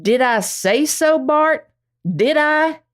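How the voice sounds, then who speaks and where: A woman's voice: high-pitched, expressive, slow. A woman playing a ruthless, hot-tempered young gang boss in a Western radio play, commanding and threatening, with an American accent. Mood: angry, menacing. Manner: commanding, threatening cowboy drawl.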